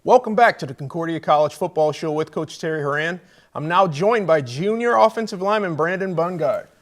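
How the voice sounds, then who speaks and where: A man's voice: fairly high pitch, expressive, brisk. An American man hosting a college sports show, asking questions in a conversational interview style.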